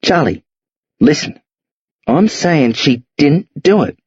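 A man voicing a blunt, streetwise teenage boy who talks urgently and insistently in a husky voice. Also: fairly high pitch, big pitch swings, slow, a little mellow, no echo, muffled recording, heavily acted. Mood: impatient. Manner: urgent, insistent, rough slang.

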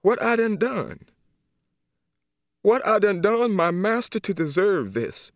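A heavy, grieving old woman from the American South, put on by a man reading aloud, pleads in a high, drawn-out voice. Her words swing widely in pitch and stretch long with sorrow. The sound is close and dry. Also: wildly swooping pitch, no echo, full character voice. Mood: grieving, tearful. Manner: slow, drawn-out, tearful pleading.

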